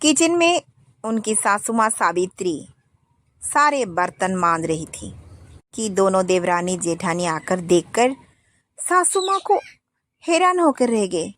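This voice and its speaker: A woman narrating a moral family story in a plain, steady way. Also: medium pitch, slow pace, long pauses.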